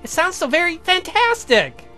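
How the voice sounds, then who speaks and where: A very high, genteel lady voiced by a man in a gothic romance game. She sounds amazed and questions politely, with music playing behind her. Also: sing-song, moderate pace, bright, forceful tone, full character voice. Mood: incredulous wonder. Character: astonished genteel young lady, the count's beloved.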